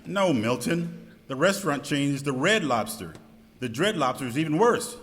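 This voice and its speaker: A man's voice: a little high, animated, normal speed, close to an everyday voice. A man playing a fond, smitten boss in an amateur live radio skit. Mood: concerned. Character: smitten male boss, fond and long-suffering. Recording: echoey hall with background noise.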